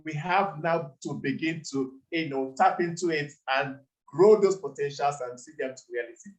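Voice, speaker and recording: A man's voice: on the high side, normal speed, poor audio. A man from Nigeria, founder of a campaign, giving an earnest closing speech on a video call with some hesitations. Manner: earnest, grateful, hesitant with fillers.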